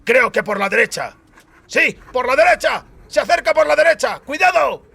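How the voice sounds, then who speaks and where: Guy narrator doing a rattled bad-guy boss in a fantasy audiobook, high strained pitch, urgent commands. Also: animated, normal speed, creaky, breathy edge, exaggerated voice. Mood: alarmed. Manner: urgent shouted orders. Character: commanding male villain leader, alarmed and cursing.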